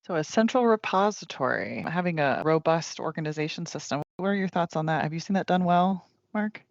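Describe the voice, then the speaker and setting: A man's voice: fairly high pitch, animated, fairly quick, vocal fry, no echo, lo-fi sound. A man hosting a conversational business podcast as a tax advisor, agreeable in his responses. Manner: agreeable, chuckles mid-sentence.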